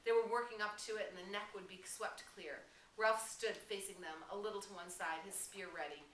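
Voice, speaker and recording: A woman reading a novel aloud as dramatic, expressive audiobook narration. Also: medium pitch, normal speed. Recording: roomy, distant mic, faint noise.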